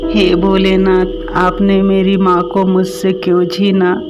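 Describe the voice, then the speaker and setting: A man's voice: high-pitched, monotone, unhurried, dull audio. A man playing a sorrowful, devout village boy in a cartoon story, pleading plaintively. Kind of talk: Hindi cartoon story dialogue. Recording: music behind the voice.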